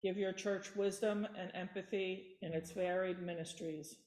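A woman reads intercessory prayers from a church lectern in a flat, level voice. The sanctuary gives her words a roomy echo. She stumbles now and then but keeps an even pace. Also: fairly low pitch, moderate pace. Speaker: worship leader. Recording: echoey church room.

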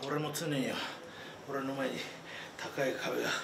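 A middle-aged pro wrestler, out of breath and panting between gruff, fired-up phrases in post-match comments. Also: male voice, medium pitch, fairly even intonation, a little slow. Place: backstage press area in front of a sponsor backdrop. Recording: echoey room, loud noise, far from mic.

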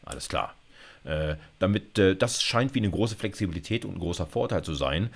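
A man's voice: low voice, animated, brisk, creaky. A man in his fifties giving an informal lecture with a live demo, talking colloquially and directly to his students with frequent check-in tags. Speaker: university lecturer.

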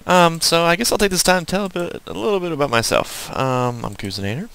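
A man's voice: a little high, fast talker, gravelly, fairly bright tone, clean tone. A man with a Southern US accent giving casual, unscripted game commentary, rambling and muttering to himself as he plays. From southern United States. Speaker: gaming video host.

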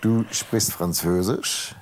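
A man's voice: fairly low pitch, slow, slight background noise. A man in his fifties hosting a talk show in a TV studio, chatting with guests in a joking, teasing way on a lapel mic. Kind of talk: joking casual studio chat.

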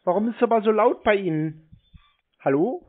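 A man on a prank phone call, acting confused in a deadpan manner. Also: sits high, fairly quick. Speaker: prank call recipient baiting telephone scammers.

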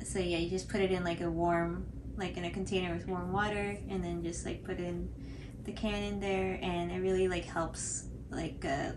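Low-voiced young woman, noisy recording. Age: 20s. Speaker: cosplay tutorial host. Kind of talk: casual unscripted tutorial commentary. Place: indoor living room, seated on couch.

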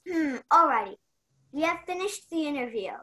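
A woman's voice: sits high, expressive, moderate pace, very noisy. A young woman playing an in-charge investigator in an amateur radio play. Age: young adult.

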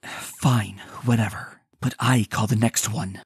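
A woman voicing a surly, bored female pony warrior with deadpan delivery in a cartoon comic dub. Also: rumbling low, slow pace, breathy edge, no echo, clean studio sound, full character voice. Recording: music behind the voice.

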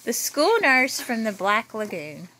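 A woman in her thirties reading a children's picture book aloud in a small bedroom, with lively storytelling and playful asides, recorded on a phone camera nearby. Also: a little high, unhurried, slight background noise. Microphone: phone camera held nearby.

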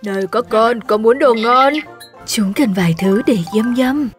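Woman playing a lively cartoon lion parent, expressive, sing-song, rhyming kids' storytelling, music behind. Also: a little high, a little slow, lightly acted. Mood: cheerful. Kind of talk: children's cartoon dub, rhyming narration.

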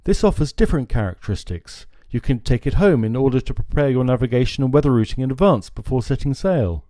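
A man, presenting marine navigation equipment in a small showroom in a steady, informative way on a lapel mic. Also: medium pitch, quick pace, no room sound, studio quality. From France. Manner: steady, informative, gestures at screens. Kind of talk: scripted product explainer.

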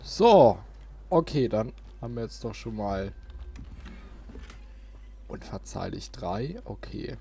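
Slow-talking man doing gameplay commentary. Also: fairly low pitch, very animated, vocal fry, dark tone. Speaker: let's player, host. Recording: game noise behind the voice. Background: video game ambience.

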